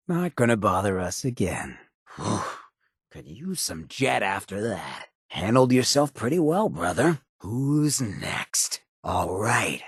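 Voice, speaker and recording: A male actor playing a roguish, swaggering ghoul leader in a video game, with a gravelly rasp, an American accent and a cocky, streetwise drawl. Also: lowish voice, no room sound, clean studio sound, exaggerated voice. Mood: dry, cocky. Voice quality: raspy, gravelly. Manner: cocky, streetwise drawl with clipped slangy phrasing. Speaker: companion character in a video game. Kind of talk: video game character voice lines.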